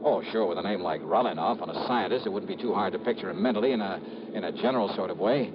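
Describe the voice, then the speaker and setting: An American actor playing a brisk, blunt, hard-boiled insurance investigator in a 1950s radio drama. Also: male voice, on the low side, background noise, natural voice. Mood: wry narrating. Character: brisk, tough, no-nonsense male insurance investigator. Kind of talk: 1950s radio drama acting, first-person narration and dialogue. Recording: muffled vintage radio recording with music.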